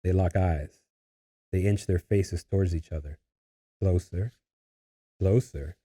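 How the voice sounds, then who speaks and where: A man's voice: deep voice, slow, mellow, slightly rough. A man narrating a screenplay, reading out scene headings and stage directions as scripted narration.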